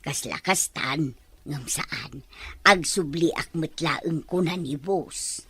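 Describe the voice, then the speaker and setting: A woman's voice: deep voice, slow pace, a bit bright, husky, no echo, heavily acted. A woman playing a humble older household helper in an audio drama, deferential in manner, with an Ilocano accent. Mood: evasive. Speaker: drama performer.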